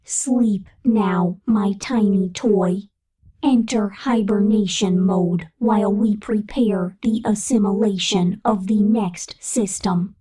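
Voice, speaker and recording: A woman playing a possessive, all-powerful digital entity in an ASMR roleplay, hushed as if lulling its toy. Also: mid-range voice, fairly even intonation, slow pace, breathy edge, rough recording. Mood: tender, possessive.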